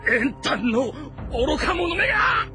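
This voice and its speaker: Casting: proud ageing warlord, bitter and imperious, slow delivery, big pitch swings, music underneath. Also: male voice, sing-song, heavily acted. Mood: furious. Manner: haughty, brooding, old-fashioned lordly speech. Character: proud, embittered ageing male warlord, quick to rage. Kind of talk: dramatic Japanese audio drama acting. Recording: music behind the voice.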